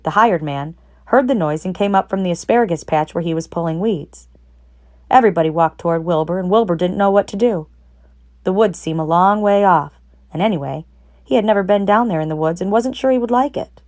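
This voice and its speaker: A woman with a fairly low-pitched voice reads a children's story aloud. She narrates expressively, as a storyteller. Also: a little fast, a little mellow. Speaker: narrator, read-aloud reader.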